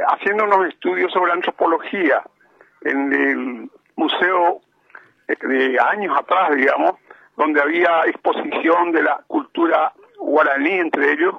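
Gravelly Paraguayan man on a dull phone line. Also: on the high side, no room sound. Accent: Paraguayan Spanish. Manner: rambling, explanatory, with frequent fillers. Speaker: correspondent calling in from abroad. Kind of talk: casual radio chat.

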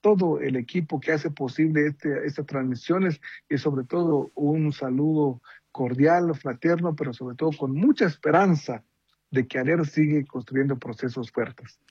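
A man from Guatemala with a Guatemalan accent and a Mayan mother tongue. He joins a radio broadcast over a dry, muffled remote line, and his voice is very husky and slightly high. His farewell is cordial and unhurried. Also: dry recording. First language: Mayan language. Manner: cordial, measured, with filler pauses. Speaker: radio network guest. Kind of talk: formal on-air farewell.

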